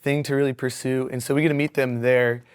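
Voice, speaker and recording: A young man talks in a relaxed, upbeat way, his voice hoarse and raspy from a weekend of yelling. He keeps the tone casual and full of little fillers, in a middle register. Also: medium pitch, fast talker. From Missouri Ozarks. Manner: upbeat, animated, many fillers. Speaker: dentist guest. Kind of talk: casual interview.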